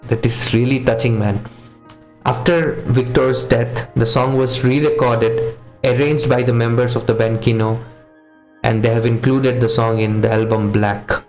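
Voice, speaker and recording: A man's voice: medium pitch, fairly even intonation, measured pace, reverberant, low-quality recording. A man in his thirties hosting a music reaction video, chatting casually and earnestly to viewers as a non-native English speaker. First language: not English or Hindi, another mother tongue. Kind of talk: casual chat to camera. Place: small home studio room with posters. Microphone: studio condenser microphone on boom arm.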